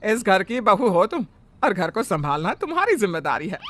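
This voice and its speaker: A man's voice: high voice, sing-song, moderate pace, pushed and bright, a little hiss or hum, full character voice. A man voicing a fussy, bossy middle-aged mother-in-law who scolds and complains. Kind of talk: Hindi family drama voice acting.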